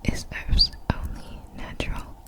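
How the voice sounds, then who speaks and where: A woman narrates facts in ASMR style, entirely in a breathy whisper. She goes very slowly and deliberately, leaving gentle pauses between short phrases. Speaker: ASMR creator.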